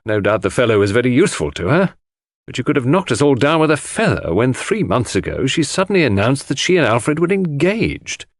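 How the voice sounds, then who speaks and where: A man voicing an exasperated, outspoken English country gentleman with slangy upper-class talk in an audiobook. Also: mid-range voice, big pitch swings, a little fast, no room sound. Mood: indignant. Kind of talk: audiobook character dialogue.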